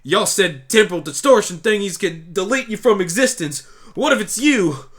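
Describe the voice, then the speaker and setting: A man's voice: high-pitched, animated, normal speed, fairly bright tone, voice pushed up. A man voicing a stubborn, protective country mare pony with a folksy Southern US drawl, in an amateur audio drama. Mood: anguished, accusing. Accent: Southern US country drawl, put on. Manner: folksy, blunt, pleading.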